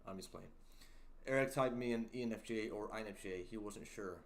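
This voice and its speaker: A young man hosting a casual livestream, reading chat aloud and joking around, with a deep voice. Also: a little fast. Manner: playful, jokey, sings snippets and reads chat aloud. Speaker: livestream host.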